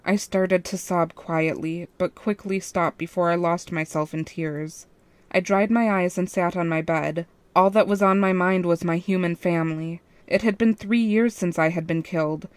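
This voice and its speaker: A woman's voice: lowish voice, fairly even intonation, normal speed, no room sound. A woman reading a first-person fan fiction story as its narrator.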